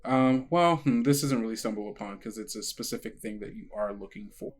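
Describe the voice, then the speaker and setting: A man running a tabletop game as game master, narrating off the cuff in a casual, halting, colloquial way. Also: lowish voice. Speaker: game master and podcast host.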